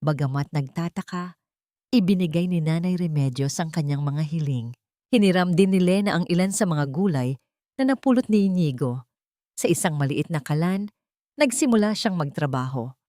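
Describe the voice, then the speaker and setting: A woman narrates a scripted dramatic story in measured, emotive short phrases. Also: fairly low pitch, expressive, mellow, no room sound, clean studio sound.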